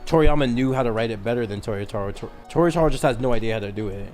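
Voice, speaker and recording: A man's voice: medium pitch, fast talker, a little mellow, soft background music. A man in his twenties chatting casually as a podcast co-host, rambling through explanations in slangy language. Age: 20s. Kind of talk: casual chat about anime and manga.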